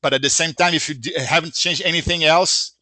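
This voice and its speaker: A man's voice: a little high, fairly even intonation, brisk, pushed and bright, no echo. A man with a Brazilian Portuguese accent, a native Portuguese speaker, earnestly answering user questions at length with frequent restarts. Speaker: software company founder answering user questions. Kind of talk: live Q&A explanation.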